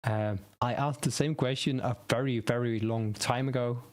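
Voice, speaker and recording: A man whose first language is Dutch hosts a relaxed live stream on website building. He thinks aloud, stumbles over words and restarts them, at an ordinary middle pitch. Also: normal speed. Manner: rambling, self-correcting, thinking aloud. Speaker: web design streamer. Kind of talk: casual tutorial live stream.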